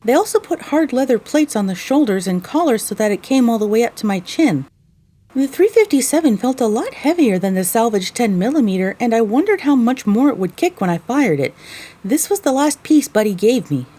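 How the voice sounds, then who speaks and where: A woman plays a young pony mare telling her own adventure in an audio drama. Her voice is fairly high and mellow with a husky edge, and she delivers it with weary, wry earnestness. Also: big pitch swings, fairly quick. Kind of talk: first-person audio drama narration.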